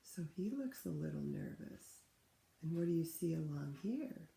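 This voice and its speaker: Lady doing a kids read-aloud, kinda low creaky voice, teachery and expressive, roomy echo. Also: a little slow, slight background noise. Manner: expressive, teacherly, asks listeners questions. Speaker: read-aloud host for children. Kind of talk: picture book read-aloud with asides to children. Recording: roomy, echoing room sound.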